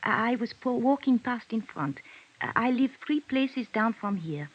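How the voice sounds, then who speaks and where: A muffled vintage radio recording of a frightened young Frenchwoman. Her voice is fairly high, with a French accent and hesitant, apologetic broken English, like a reluctant witness in a detective drama. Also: lively intonation, moderate pace. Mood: anxious, recounting.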